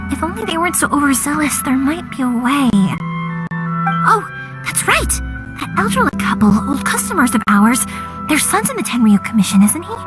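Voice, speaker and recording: A cheerful young fireworks-maker chatters in a very high, bright voice that bounces up and down with energy. She is bold and upbeat, and loud game music plays behind her. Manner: bubbly, quick and animated. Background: loud game background music.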